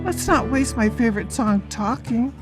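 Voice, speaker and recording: A woman's voice: fairly high pitch, lively intonation, moderate pace, close to an everyday voice. A woman playing a confident, romantic young brunette in an audiobook drama, assured and forward. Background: loud guitar music.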